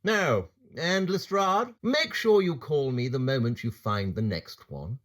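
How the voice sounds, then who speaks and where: An actor playing a brilliant, arrogant, condescending detective in an audio drama, with a clipped, sarcastic, lecturing manner. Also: male voice, medium pitch, lively intonation, measured pace, a bit bright. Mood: coolly analytical.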